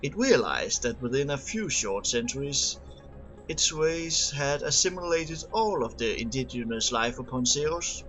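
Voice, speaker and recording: A man's voice: mid-range voice, lively intonation, a little slow, fairly bright tone, rough recording. A man reading scripted lore aloud as an amateur narrator, sometimes stumbling and repeating words. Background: music under the voice.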